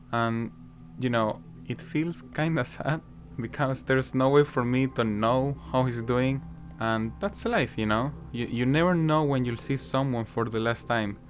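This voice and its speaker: Casual chatty man, improvised commentary. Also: mid-range voice. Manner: casual, chatty, frequent "you know" fillers. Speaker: gaming YouTuber. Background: faint guitar music.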